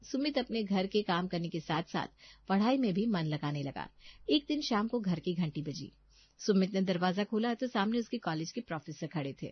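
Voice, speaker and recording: A woman narrates a scripted story at a medium pitch. The recording is very dry and slightly dull, with the top end softened. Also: expressive, normal speed, husky, no room sound.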